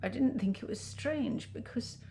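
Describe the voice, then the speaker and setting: A woman's voice: fairly high pitch, unhurried, gravelly, warm tone, faint music behind. A woman playing a bitter, scornful middle-aged aunt with a British accent, acerbic and dramatic, in an interactive mystery film. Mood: haunted, reflective. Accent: British English. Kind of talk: naturalistic screen acting in an interactive mystery film.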